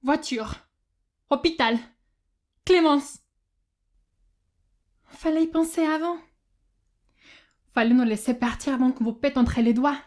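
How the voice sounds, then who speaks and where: A woman's voice: high voice, takes it slowly, long pauses, a little mellow. A woman playing a desperate, resolute accountant turned kidnapper in an audio drama, speaking with determination. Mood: angry, commanding. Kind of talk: French audio fiction acting.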